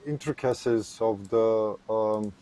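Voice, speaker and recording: A man's voice: on the low side, slow, dark tone, a little hiss or hum. A man speaking as a co-analyst in casual, hesitant talk full of fillers and repeats. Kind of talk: casual livestream chat.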